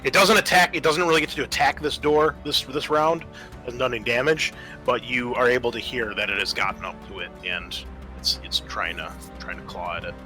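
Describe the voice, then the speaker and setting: A man's voice: mid-range voice, brisk, pushed and bright. A man narrating a tabletop roleplaying game as game master, casual and descriptive in his delivery. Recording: music under the voice. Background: background music.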